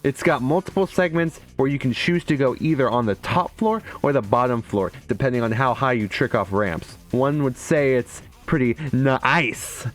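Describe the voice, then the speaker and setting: Young man, medium pitch, casual game reviewer, irreverent jokes and swearing, faint music underneath. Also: normal speed, hardly any pauses. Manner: irreverent, profane, joking. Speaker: video game reviewer and streamer. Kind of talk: casual comedic scripted commentary.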